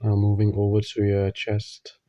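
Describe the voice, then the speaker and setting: A man leading a guided meditation, giving gentle instructions in short phrases with long pauses. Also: on the low side, monotone, dry recording, highs cut off.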